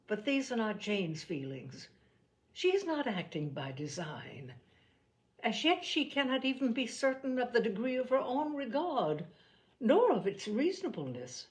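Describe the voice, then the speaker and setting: Witty, principled young period heroine played by a female narrator, wry and articulate, animated intonation, storybook drama. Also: fairly high pitch.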